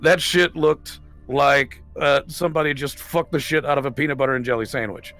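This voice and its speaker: A man's voice: medium pitch, steady tone, fairly quick, a bit bright, soft background music. A man casually bantering as a tabletop game player, with a profane, opinionated, rambling manner.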